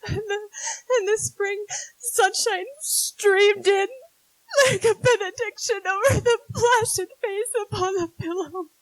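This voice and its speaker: A woman's voice: very high-pitched, a little slow, no room sound, poor audio. An actress playing a strong-willed, devoted sister who narrates her memories in a 1940s-style radio drama, with an emotional, faltering delivery. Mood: tearful.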